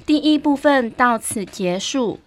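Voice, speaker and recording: A woman announcing listening-exam item numbers and instructions in a slow, measured way. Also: high voice, animated. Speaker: test announcer.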